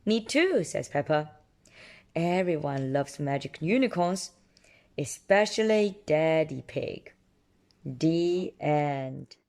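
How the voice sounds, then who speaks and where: A woman reading a children's story aloud with an expressive delivery, as if to a small child. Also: deep voice, takes it slowly. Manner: expressive, exaggerated delivery for young listeners. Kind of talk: animated read-aloud narration with character voices, chatting to a child.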